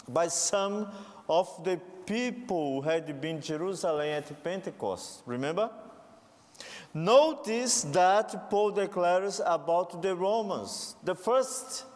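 A man's voice: fairly high pitch, in a large hall. A man from Brazil with a Brazilian Portuguese accent, a native Portuguese speaker, preaching a sermon and rising to fervent calls to the congregation.

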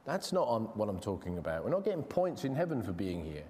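English preacher in a reverberant hall. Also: male voice, lowish voice, big pitch swings, dark tone, slight background noise. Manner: earnest, conversational, with frequent restarts and slow emphasis. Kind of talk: sermon. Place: church.

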